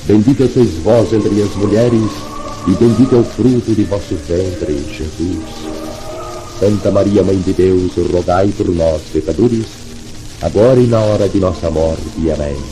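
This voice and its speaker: A man with a Brazilian accent solemnly reciting prayer as a 1950s radio announcer, formal and reverent, on an old 78rpm disc. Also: low-pitched. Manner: formal, reverent, measured oratory. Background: music, record crackle.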